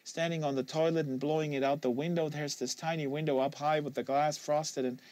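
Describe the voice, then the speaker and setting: A man voicing a depressed, anxious young woman confessing haltingly, as an audiobook character. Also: on the high side, little pitch movement, a little mellow, no room sound, natural voice. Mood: anxious confession. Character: depressed anxious young woman, pot-addicted psychiatric patient.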